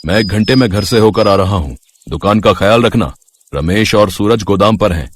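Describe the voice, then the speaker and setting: A man voicing a stern, demanding grocery shop owner who gives brisk orders in a story. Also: lowish voice, a little hiss or hum, close to an everyday voice. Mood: instructing. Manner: brisk, commanding.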